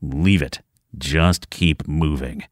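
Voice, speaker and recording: A man voicing a nervous, threatening male gunman in an audiobook, with a low, steady voice and terse, clipped lines. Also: takes it slowly, dry recording, clean studio sound. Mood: tense, threatening.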